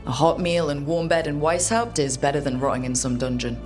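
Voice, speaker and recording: Actress as low-voiced young woman adventurer, curious, wry, teasing, casual, video game, soft music behind. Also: steady tone, brisk, natural voice. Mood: wry, thoughtful. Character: curious, teasing female fantasy adventurer and leader.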